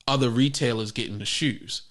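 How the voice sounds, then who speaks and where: Bright-voiced, animated man on a podcast. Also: mid-range voice, normal speed. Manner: animated, profane, jumps in loud when joking. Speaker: podcast co-host. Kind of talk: casual chat.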